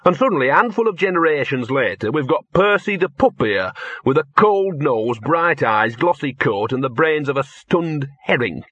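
A man's voice: a little high, animated, normal speed, a bit bright, slightly rough, no room sound, pitched above the performer's own voice. A man voicing a scruffy, cynical male talking dog who grumbles in a world-weary way, on a dull lo-fi tape. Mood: grumbling.

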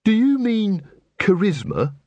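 Male reader doing a lady guard, blunt n skeptical, lighter higher voice, audiobook. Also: very animated, slow, dark tone, no echo, highs cut off, exaggerated voice. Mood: puzzled. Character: blunt, incredulous female watch constable.

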